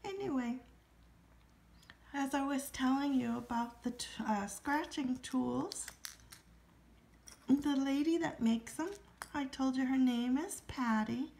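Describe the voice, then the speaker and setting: Woman vlogger, high voice, unhurried, a bit hoarse, reads numbers aloud. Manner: friendly, calmly reads out numbers. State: slightly scratchy throat. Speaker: lottery ticket scratching vlogger. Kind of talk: casual to-camera chat while scratching tickets.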